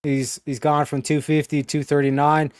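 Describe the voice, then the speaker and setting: A man rambling to his stream chat late at night, slangy and profane, padding his talk with fillers. His voice sits a little high and sounds smooth and clear. The recording is dry and close, with no room sound. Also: level tone, moderate pace, darkish tone, no echo. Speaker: livestream host. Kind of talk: casual livestream chat.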